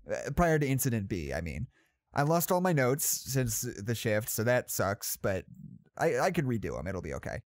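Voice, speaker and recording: Audio drama role, male actor: well-meaning male psychologist, nervous, halting, forcedly upbeat, swinging intonation, dry close sound. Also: medium pitch, no room sound, natural voice. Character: anxious, rambling, well-meaning male psychology department head. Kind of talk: naturalistic audio drama monologue, spoken log.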